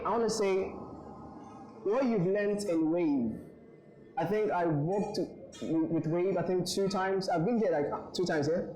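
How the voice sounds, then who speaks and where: A man's voice: on the high side, darkish tone. Earnest young man in an echoey hall. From Ghana. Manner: earnest, repeats words when searching, frequent fillers. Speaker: invited speaker. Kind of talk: motivational speech to graduates. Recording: echoey room.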